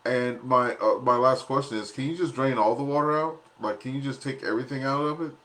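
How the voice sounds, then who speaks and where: A man's voice: mid-range voice, brisk, fairly bright tone. A man chatting casually on an amateur radio net, explaining things in a rambling, folksy, plain-worded way. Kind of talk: casual chat.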